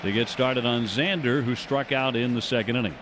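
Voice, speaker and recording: An American man calling live baseball play-by-play on radio in a measured, descriptive manner. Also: mid-range voice, a crowd in the background, noisy background. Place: ballpark broadcast booth.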